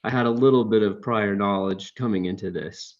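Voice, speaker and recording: A man answering as a panelist in a casual online round-table, hesitant and unhurried, with many fillers. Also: on the low side, dull audio. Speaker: panelist, self-taught learner.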